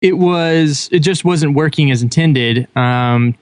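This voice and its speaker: A man's voice: a little high, slow, dry recording. A man on a gaming podcast, explaining things in a matter-of-fact way. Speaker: podcast guest. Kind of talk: casual chat.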